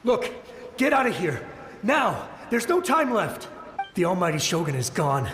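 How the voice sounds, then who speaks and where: A man's voice: high voice, lively intonation, measured pace. A man voicing the ghost of a battle-weary samurai soldier in a video game, with an urgent, pleading, dramatic delivery. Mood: urgent, grim. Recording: echoing hall-like reverb, music underneath.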